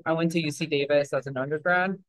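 A man's voice: fairly high pitch, fairly even intonation, quick pace, a bit bright, no room sound. A young man from Mexico, answering interview questions in a loose, rambling way with many fillers and restarts. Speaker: interview guest. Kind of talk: casual interview talk.

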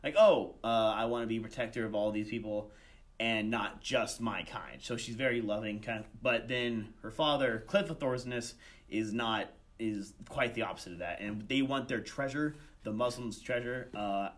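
A man's voice: medium pitch, normal speed, a bit bright. Young guy being interviewed, casual chat, kinda hesitant, keeps restarting his sentences. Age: young adult. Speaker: student director and voice actor, interview guest.

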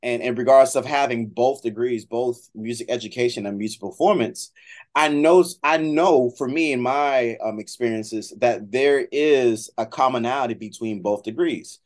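A man's voice: mid-range voice, moderate pace. A middle-aged man with an American accent talking conversationally as an interview guest, often repeating and rephrasing as he goes. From Miami, United States. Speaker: guest, trombone professor.

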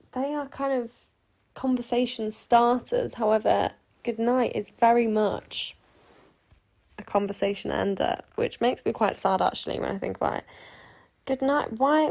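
A woman's voice: fairly high pitch, gravelly, a little mellow. An eighteen-year-old woman from Sheffield, hosting a podcast in a rambling, chatty way that is full of asides. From Sheffield, England. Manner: chatty, self-interrupting, giggly asides. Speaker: podcast host. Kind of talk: rambling casual chat.

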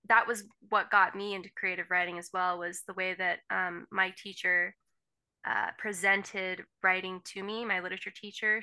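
Woman, co-host, casual storytelling, very bright tone. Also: mid-range voice, rough recording. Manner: conversational, shares personal story. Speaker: podcast co-host. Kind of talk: casual chat.

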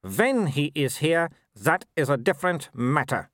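A man's voice: on the high side, a little slow, no echo, studio quality, pitched above the performer's own voice. A man doing a cool, arrogant young werewolf. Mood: menacing. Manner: cool, arrogant, calm menace. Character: arrogant athletic young male werewolf noble.

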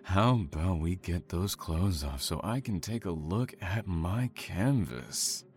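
A slow, taunting sadistic killer. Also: male voice, low voice, expressive, a little mellow, full character voice. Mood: calm taunting. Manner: slow, taunting, mock-polite. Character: sadistic serial killer father, menacing and falsely tender. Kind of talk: audio thriller drama acting.

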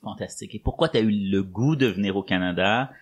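A man's voice: fairly low pitch, fairly quick, husky. A man hosting a podcast in a conversational interviewing style. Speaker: podcast host.

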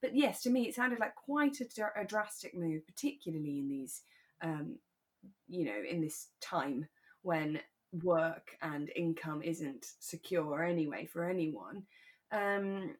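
A woman with a British accent co-hosting a relaxed recap chat, retelling things in an expressive, conversational way. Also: low voice, a little slow. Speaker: podcast co-host.

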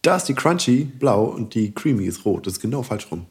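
A man's voice: medium pitch, sing-song, brisk, darkish tone. A man, a guest in casual podcast banter, talking in a playful, wry, colloquial way.

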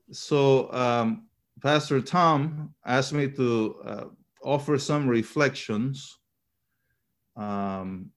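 A man in his fifties, a seminary professor raised in Chile and Panama, who speaks English. He gives an earnest reflection to a church gathering and pauses often on filler sounds. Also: mid-range voice. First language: Spanish.

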